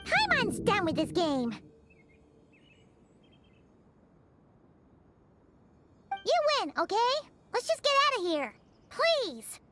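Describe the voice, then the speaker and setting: A woman voicing a small, excitable, easily exasperated fairy companion in a video game, with a squeaky childlike voice and exclamatory delivery. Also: unhurried, full character voice. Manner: speaks of self in third person, exclamatory. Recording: music behind the voice.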